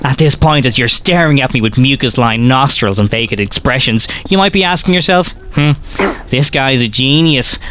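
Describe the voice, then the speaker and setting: An actor playing an arrogant, caustic science professor in a comic audio drama, lecturing in a pompous, sardonic and wordy way. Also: male voice, mid-range voice, moderate pace, fairly bright tone, clean tone, close to an everyday voice. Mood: withering, pompous.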